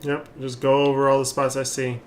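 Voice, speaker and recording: Rambling man streaming over clear background noise. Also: mid-range voice, completely flat delivery, a little fast. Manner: rambling, thinking aloud. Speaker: game streamer. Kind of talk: casual gameplay commentary, chatting.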